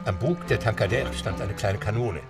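A man's voice: fairly low pitch, normal speed, distant mic, loud music behind the voice. A man narrating a scripted adventure story in a dramatic storytelling style.

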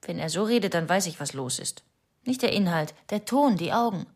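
A woman's voice: lowish voice, expressive, brisk. An actress playing a bitter, suspicious wife confiding about her husband in a plain, matter-of-fact radio drama confession. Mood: wounded, suspicious.

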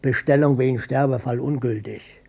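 An older man playing a stubborn, defensive widowed father in a radio drama, blunt and colloquial, with a gravelly, husky voice. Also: mid-range voice, steady tone, moderate pace, darkish tone. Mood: matter-of-fact. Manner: blunt, colloquial, swinging between offhand and touchy. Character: gruff widowed old father, stubborn, defensive, matter-of-fact.

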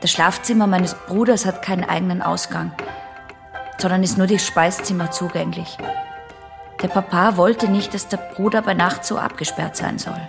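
A woman's voice: lowish voice, fairly even intonation, moderate pace, creaky, over music. An actress in a radio play as a guarded, polite young female patient, recounting things plainly and hesitantly. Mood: hesitant, reflective.

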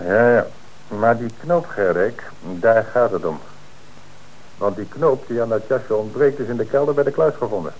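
An actor playing a stern, sceptical police inspector, questioning methodically and insistently in a Dutch radio drama. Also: male voice, medium pitch, normal speed, a little mellow, natural voice, rough recording. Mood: sceptical, pressing.

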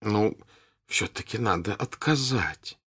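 A wry middle-aged countess, dry and scoffing, impatient with her daughter, as a man voices her. Her voice sits fairly low and her delivery is lively. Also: slow pace, husky, exaggerated voice. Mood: exasperated.

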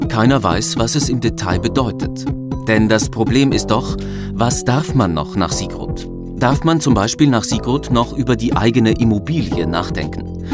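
An actor playing an assured finance magazine presenter, reading scripted narration in a radio play. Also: male voice, lowish voice.

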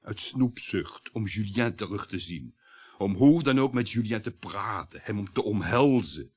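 Mocking, domineering husband with animated voice. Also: male voice, fairly low pitch, unhurried, husky. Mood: bitter, uneasy. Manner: mocking, talkative, sardonic chuckles. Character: domineering, needling husband and father, sarcastic and uneasy. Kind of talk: Dutch radio play acting.

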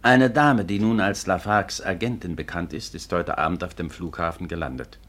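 An actor playing a courteous, businesslike police detective in a 1950s radio drama, speaking formally and matter-of-factly. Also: male voice, deep voice, fairly bright tone. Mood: calm, courteous. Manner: measured, matter-of-fact, formal. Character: courteous, businesslike male Scotland Yard detective.

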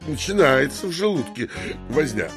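An actor playing a brash, boastful uninvited guest who rambles familiarly and exclaims theatrically in an audio drama. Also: male voice, on the high side, sing-song, moderate pace, over music. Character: brash boastful adult man, chatty uninvited guest, lying braggart.

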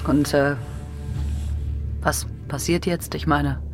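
An actress playing a guarded, defiant young sailor woman in a radio drama, speaking haltingly. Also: deep voice, steady tone. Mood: wary. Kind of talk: German radio drama acting. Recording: music behind the voice.

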